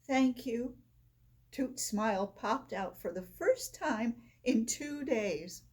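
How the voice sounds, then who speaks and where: A woman reads a children's story aloud in a slightly high, husky voice. Her delivery is expressive and swings up and down in pitch. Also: takes it slowly. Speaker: storybook reader. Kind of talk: expressive read-aloud of a children's picture book.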